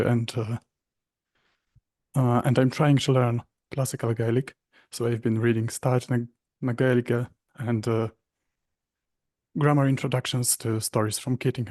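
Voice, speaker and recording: A man asks a question over a remote connection, picking his words slowly and carefully with many hesitations. His voice sounds dry and close. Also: mid-range voice, a little mellow, no room sound. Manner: slow, careful, halting with many "uh"s. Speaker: online questioner. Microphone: remote call mic.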